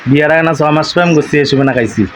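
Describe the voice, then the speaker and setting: Young man, eastern Bengali dialect, fast teasing chat, repeats himself, slight background noise. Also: fairly high pitch.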